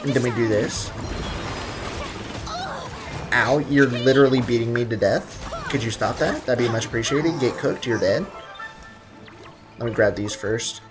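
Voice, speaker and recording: A man's voice: medium pitch, measured pace, pauses often, music in the background. A man live streaming a video game, chatting casually with viewers in a slangy, joking, rambling way.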